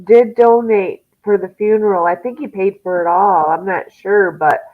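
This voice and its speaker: A woman chatting casually as a guest, agreeable and quick to say yes. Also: medium pitch, steady tone. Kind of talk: casual chat. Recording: tinny phone line.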